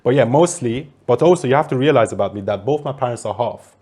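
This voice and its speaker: A man's voice: medium pitch, animated, quick pace, dark tone, slightly rough. A man in his thirties from Iran, a political commentator giving long, earnest interview answers. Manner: earnest, fluent, talks at length.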